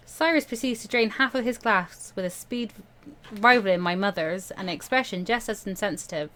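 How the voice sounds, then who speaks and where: A woman's voice: medium pitch, normal speed, fairly bright tone. A woman hosting a game playthrough, reading a visual novel aloud expressively with casual commentary.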